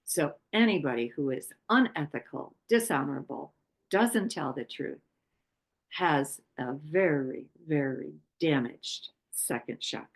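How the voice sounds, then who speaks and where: A woman from Poland giving an unscripted talk on chakras, speaking haltingly with many pauses and fillers. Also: deep voice, expressive. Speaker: chakra workshop presenter.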